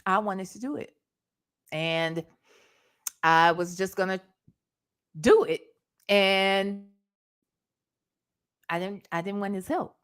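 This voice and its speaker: A woman's voice: mid-range voice, slow, long pauses, smooth. A middle-aged American woman from Ohio telling stories casually as a livestream host, emphatic and sassy, often checking in with the listener. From Ohio, United States. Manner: animated, emphatic, sassy, conversational with tag questions. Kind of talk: casual storytime chat.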